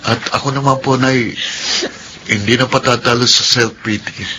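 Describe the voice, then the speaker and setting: A male actor as a sincere, determined Filipino son in a radio drama. The voice is mid-pitched and bright, over clear noise. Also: steady tone, moderate pace, natural voice, low-quality recording. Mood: earnest. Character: determined, sincere grown son with lame leg.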